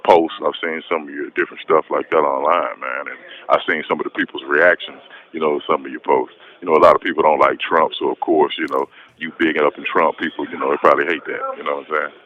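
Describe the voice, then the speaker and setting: Very deep-voiced American man on muffled phone. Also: fairly even intonation, quick pace. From west Texas, United States. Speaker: interview guest, prisoner calling in. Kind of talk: casual personal account over the phone. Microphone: telephone call from prison. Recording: muffled noisy prison phone line.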